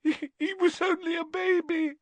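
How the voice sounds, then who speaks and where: A man's voice: very high-pitched, little pitch movement, normal speed, vocal fry, dry recording, voice pushed up. A man voicing a tearful, melodramatic teenage schoolgirl in an audiobook, sounding as if crying. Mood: sobbing.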